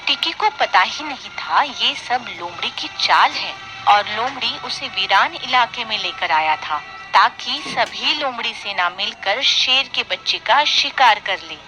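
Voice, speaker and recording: High-pitched woman narrator over music. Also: animated, unhurried, hardly any pauses, rough recording. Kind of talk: scripted children's story narration.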